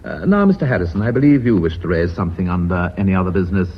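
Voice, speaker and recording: An actor playing a formal, courteous board chairman in a British radio drama, measured and slightly hesitant, with an English accent. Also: sits low, animated. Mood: smooth condescension. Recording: muffled, faintly noisy old radio broadcast.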